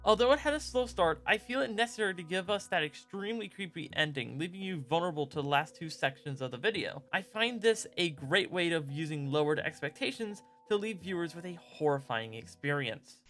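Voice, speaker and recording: A man's voice: fairly high pitch, expressive, a little fast, hardly any pauses, fairly bright tone, faint music behind. A man reading scripted narration as the host of a video essay.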